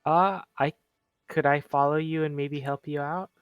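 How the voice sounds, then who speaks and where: A man playing a tabletop roleplay game, speaking casually in a tentative, halting way. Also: on the high side, steady tone, clean tone, no room sound. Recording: dry sound with music underneath. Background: music.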